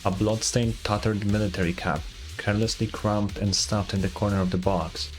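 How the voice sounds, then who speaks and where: A man's voice: lowish voice, steady tone, moderate pace. A man streaming a video game, reading its story narration aloud with casual asides, over game music.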